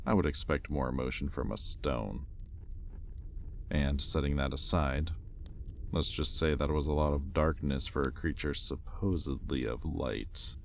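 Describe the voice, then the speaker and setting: Very deep-voiced sardonic demon played by a man. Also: a little mellow, slight background noise. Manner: sardonic, teasing, conversational with swearing. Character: teasing, sardonic male demon captor, arrogant and curious. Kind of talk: intimate audio roleplay acting.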